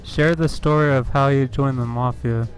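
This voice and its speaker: A man hosting a casual improv game over voice chat, throwing out short prompting questions. His voice stays at a middle pitch with little rise and fall. Faint music plays behind him. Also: fairly even intonation, moderate pace, rough recording.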